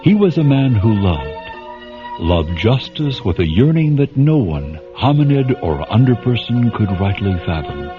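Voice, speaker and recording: A man's voice: lowish voice, big pitch swings, unhurried. A man playing a grave storytelling narrator for a radio drama, dull vintage sound with music behind him. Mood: grave, dramatic.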